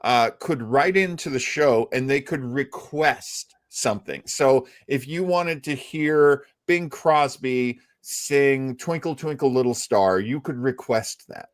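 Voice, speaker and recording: A man's voice: mid-range voice, slow, few pauses. Chatty man hosting a casual show. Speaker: host. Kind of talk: casual live show chat about old-time radio.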